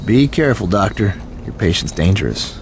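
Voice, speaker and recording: An actor playing a world-weary, wary police officer in casual banter in a game cutscene. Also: male voice, fairly low pitch, animated, normal speed, slight background noise.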